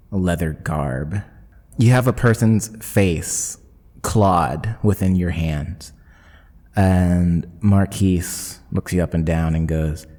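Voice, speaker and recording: Deep, slow-speaking male game master. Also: dark tone. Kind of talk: narrating a tabletop actual play podcast. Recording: long reverb, clear background noise.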